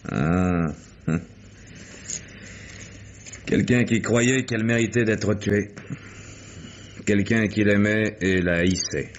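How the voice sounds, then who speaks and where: A world-weary male private detective with a low voice. He is sardonic and deliberate, laying things out with dramatic pauses. The sound is that of a muffled vintage radio mystery. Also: fairly even intonation, a little hiss or hum. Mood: grave, accusing. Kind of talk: 1950s French radio mystery acting.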